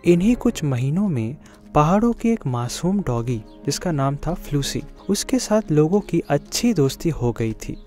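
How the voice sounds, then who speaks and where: Male narrator, children's storytelling, scripted, warm tone, moderately high pitch. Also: very animated, moderate pace, faint music behind.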